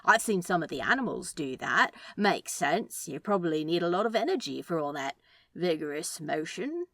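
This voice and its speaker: A woman acts out a laid-back male demon from a storybook. He reasons aloud slowly and casually, with a sceptical streak. The intonation swings widely, and the sound is dry and close. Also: on the low side, sing-song, no echo. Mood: offhand.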